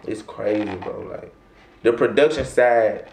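A young man talks in a loose, rambling way, full of slang and throat clearing. His voice sits low. He is seated in a small room that rings with echo. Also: measured pace, darkish tone. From Louisiana. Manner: laid-back, rambling, heavy slang, frequent throat clearing. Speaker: rapper guest. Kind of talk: casual interview talk. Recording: echoey room.

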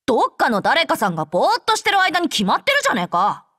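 A woman voicing a brash, tsundere young female android soldier in an anime game, with rough, blunt, tomboyish speech. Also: high-pitched, huge pitch swings, fast talker, a bit bright, no echo, clean studio sound, exaggerated voice. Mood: teasing, bossy. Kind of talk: Japanese anime game character voice lines.

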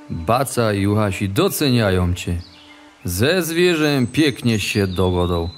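Slow, low-voiced wise old sheepdog played by a man. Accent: Polish Goral highland. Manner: slow, measured, counselling. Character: wise, fatherly old sheepdog praising his young son. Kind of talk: audio play storytelling in dialect.

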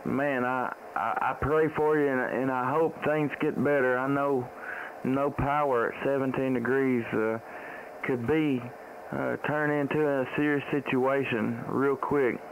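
A man's voice: mid-range voice, a little slow, poor audio. Folksy Southern US man, faint static. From United States. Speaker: ham radio operator, live-stream host. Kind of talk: casual radio contact chat. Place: small indoor home radio room. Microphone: boom-arm studio microphone, close, with headset. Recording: steady radio static noise.

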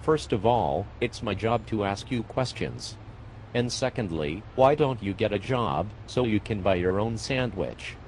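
A man voicing a tired, sarcastic male fire medic in an amateur animated comedy, speaking dryly and with exasperation. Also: on the low side, a little slow, slight background noise.

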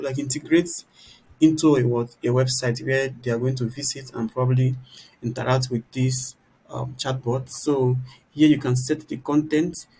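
A man giving an informal, explanatory software tutorial, often turning statements into little rhetorical questions. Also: mid-range voice, poor audio. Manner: explanatory, poses rhetorical "what?" questions mid-sentence. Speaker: tutorial presenter. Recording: clear background noise.

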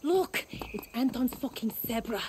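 A woman playing a brash, fiery young rebel musician in a video game, excitable and foul-mouthed. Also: on the high side, measured pace, background noise. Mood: excited, bossy. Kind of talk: video game cinematic acting.

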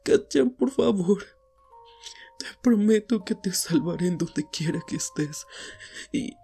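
Woman acting a desperate, husky teenage boy hero. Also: on the high side, warm tone, full character voice. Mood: pleading, anxious. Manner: pleading, halting, repeating words. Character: desperate, grieving teenage boy hero pleading over dying friend. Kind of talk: Spanish fandub audio drama, emotional acting.

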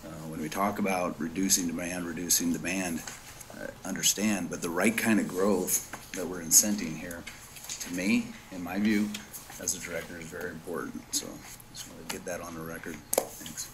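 A man's voice: low-pitched, fairly even intonation, normal speed. A man serving as a board director, making unscripted remarks at a formal meeting. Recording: noisy meeting room recording.

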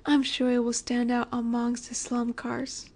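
A woman playing a flirty, confident female mafia boss in a roleplay audio drama, coy and teasing with breathy asides. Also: on the high side, completely flat delivery, measured pace, darkish tone. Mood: seductive, teasing.

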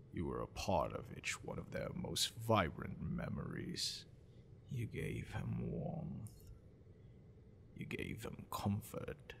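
Guy voicing a creepy obsessed young shapeshifter boyfriend, asmr roleplay, intimate and possessive, lots of vocal fry, drags words out slow. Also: on the low side, a bit bright, a little hiss or hum, heavily acted. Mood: hushed, tender.

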